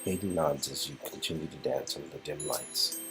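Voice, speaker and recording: A man's voice: rumbling low, brisk, vocal fry, music in the background, low-quality recording. A man reading scripted second-person romance narration and dialogue from an interactive story. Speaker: narrator and co-reader.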